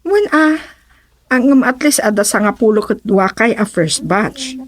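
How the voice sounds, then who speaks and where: A woman's voice: on the high side, expressive, a little slow. A woman playing a businesslike madam who recruits villagers for overseas jobs in an Ilocano radio comedy drama, giving instructions with an Ilocano accent. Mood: pressing, businesslike. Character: brisk madam overseas job recruiter pressing villagers for payment.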